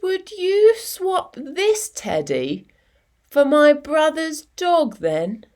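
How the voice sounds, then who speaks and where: A woman's voice: high-pitched, big pitch swings, extremely slow, a little mellow, voice pushed up, exaggerated voice. A woman voicing a kind, matter-of-fact young big sister in a storybook, speaking plainly and reassuringly. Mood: kind, offering. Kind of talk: storybook dialogue.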